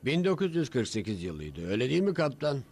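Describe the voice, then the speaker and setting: A man's voice: mid-range voice, huge pitch swings, quick pace, darkish tone, breathy edge, heavily acted. A man voicing an elderly retired superhero who recounts old adventures in a cartoon dub. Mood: reminiscing.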